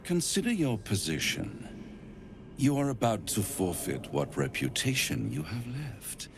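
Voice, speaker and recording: An actor playing a suave, smug, silver-tongued devil in a fantasy game, pompous and florid, savouring each phrase. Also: male voice, medium pitch, huge pitch swings, a little slow, background noise. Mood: silky, persuasive. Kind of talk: theatrical fantasy game acting, rhyming and grandiose.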